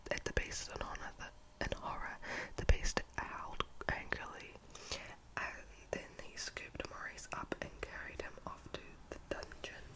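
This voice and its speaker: A woman reads a children's storybook in a breathy ASMR whisper, halting now and then to correct herself. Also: sharp tone, poor audio. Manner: halting reading with slips and self-corrections.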